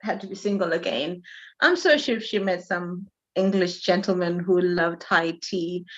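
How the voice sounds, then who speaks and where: A woman's voice: fairly low pitch, a bit bright, dull audio. A woman from Zimbabwe, a relationship coach, chatting on a panel and often affirming the others. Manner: conversational, affirming, quick back-channels. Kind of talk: panel discussion.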